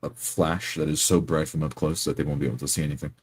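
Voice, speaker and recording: Man playing a very deep-voiced, distrustful adventurer. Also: level tone, fast talker, a little hiss or hum. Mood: resolute. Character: grave, distrustful male adventurer.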